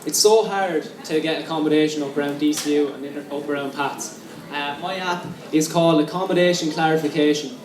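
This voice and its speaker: A man's voice: a little high, fairly even intonation, brisk. A young man giving an earnest campaign speech, full of ums and uhs. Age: young adult. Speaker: student election candidate. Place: lecture room with an audience. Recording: echoey room, mic at a distance, crowd noise.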